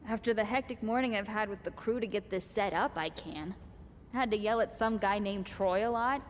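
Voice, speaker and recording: A woman's voice: mid-range voice, normal speed, lightly acted. A woman playing a busy, loyal indie wrestling promoter with a dry, understated manner in a video game cutscene. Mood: dry, amused. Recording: long hall reverb, background noise.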